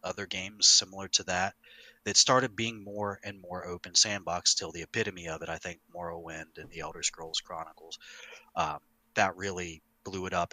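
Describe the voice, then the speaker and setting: A middle-aged man hosting a casual podcast, talking in an emphatic, animated way with some swearing. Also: low-pitched, no room sound. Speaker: podcast host, longtime game master.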